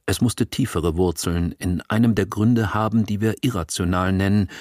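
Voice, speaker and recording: A man narrates a literary novel as an audiobook reader. His voice sits on the low side, and the studio sound is very dry. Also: darkish tone, no echo.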